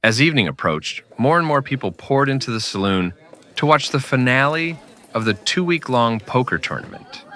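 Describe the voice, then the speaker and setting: A man's voice: mid-range voice, very animated, normal speed, pushed and bright. A man narrating a scripted western story in the style of an old-time radio drama.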